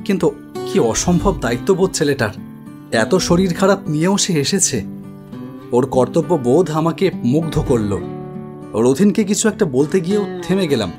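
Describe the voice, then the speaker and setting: An actor playing an anxious idol-maker who recounts a horror story in a dramatic, expressive first-person narration. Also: male voice, fairly high pitch, moderate pace, close to an everyday voice. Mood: relieved. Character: anxious male idol-maker recounting his ghostly encounter. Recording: studio voice over music bed.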